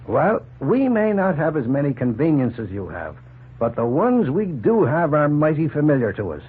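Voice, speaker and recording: An actor playing a plain-spoken, opinionated middle-aged farmer in a folksy, emphatic 1940s radio drama style. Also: male voice, fairly high pitch, very animated, normal speed, husky, full character voice. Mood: firm, explaining. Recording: noisy, tinny 1940s radio recording.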